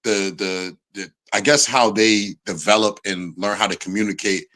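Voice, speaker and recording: A man's voice: fairly low pitch, normal speed, bright, forceful tone. A man in his thirties in casual chat, explaining his view thoughtfully with many "like"s.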